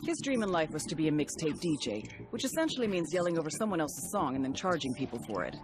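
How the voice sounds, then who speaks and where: An actress playing a wry, sardonic intelligence briefer who narrates in a conversational, profane way in a video game. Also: female voice, sits low, big pitch swings, quick pace. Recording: music behind.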